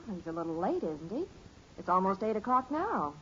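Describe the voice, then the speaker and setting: An actress playing a calm, gently wry middle-aged mother in a 1950s radio sitcom, soothing and dryly tactful. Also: mid-range voice, brisk. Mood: gentle, wry. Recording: muffled, hissy old radio recording.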